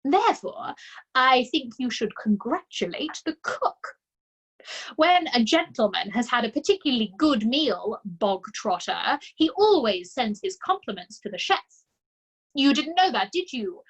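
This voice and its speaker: Woman doing a big bossy headmistress, barking, yelling, loud and high, a bit breathy. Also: big pitch swings, unhurried, exaggerated voice, poor audio. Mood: mocking. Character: tyrannical bullying female headmistress, booming.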